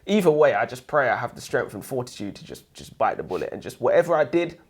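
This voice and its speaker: A man's voice: mid-range voice, big pitch swings, fast talker, husky. A man co-hosting a book podcast in casual banter, slangy and emphatic, often repeating his phrases. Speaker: book review podcast co-host.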